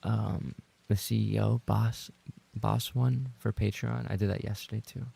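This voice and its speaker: A lowish male voice, thick with fry and very husky. He is a streamer talking casually to his chat, rambling along with playful banter. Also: steady tone, a little slow, dark tone. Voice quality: gravelly, husky. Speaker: livestreamer and ASMR voice actor reading chat.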